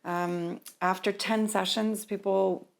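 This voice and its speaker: Casting note: woman guest, mid-pitched, relaxed and plain-spoken, explaining things simply. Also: slow pace, gravelly. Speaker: spa owner, guest. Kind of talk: casual interview.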